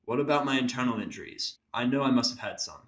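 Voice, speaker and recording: A man's voice: mid-range voice, expressive, quick pace, fairly bright tone, natural voice. A man playing an irritable, sarcastic injured patient, blunt and cynical, in a first-person audio drama. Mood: exasperated.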